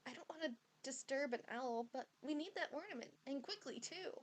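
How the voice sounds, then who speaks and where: A woman playing a polite, anxious teenage witch in an amateur audio drama, recorded very quietly. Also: high voice, animated, a little fast. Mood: worried. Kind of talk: hobby audio drama acting. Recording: very quiet, faint background noise.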